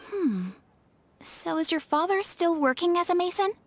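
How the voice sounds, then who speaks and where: A woman's voice: extremely high pitch, lively intonation, moderate pace. A woman playing a polite, cheerful young female guild receptionist in a video game. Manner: courteous, cheerful.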